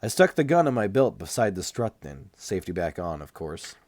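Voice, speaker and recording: A man's voice: low-pitched, very animated, fairly quick, darkish tone, dry recording, studio quality. A man reading a first-person novel aloud as an amateur audiobook narrator.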